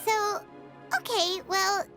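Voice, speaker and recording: A woman voicing a tiny, curious female fairy companion in a video game, with a squeaky, childlike voice and a habit of referring to herself in the third person. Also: steady tone, slow pace, over music. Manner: inquisitive, talks about herself in third person.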